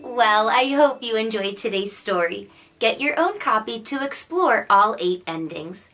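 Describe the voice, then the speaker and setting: A young adult woman narrating a picture book for children in an animated, expressive and carefully enunciated way. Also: a little high, measured pace, soft background music. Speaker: children's read-aloud storyteller.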